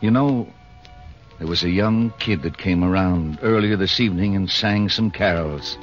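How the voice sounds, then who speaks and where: A man's voice: low-pitched, normal speed, faint music behind, close to an everyday voice. An actor playing a grumpy but sentimental old man who grumbles in slangy city tough talk, in 1940s radio style. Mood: wistful. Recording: dull old radio recording.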